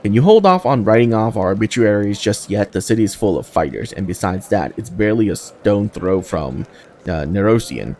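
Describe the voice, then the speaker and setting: A man's voice: lowish voice, lively intonation, normal speed, a little mellow, slight background noise. A man voicing a plucky, plain-spoken young woman soldier from a fantasy game, with a breezy, wry manner. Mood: wry, upbeat.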